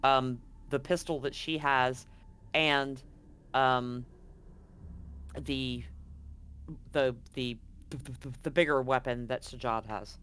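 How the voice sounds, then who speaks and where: A man running a tabletop game as game master, casually explaining scenes and rules, halting and repeating words as he thinks, with slight background noise. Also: medium pitch, fairly even intonation, a bit bright.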